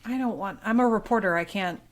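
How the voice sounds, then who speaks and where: A woman's voice: medium pitch, fairly quick, slight background noise. A woman speaking as a local reporter and podcast guest, informal and playful in casual, bantering chat.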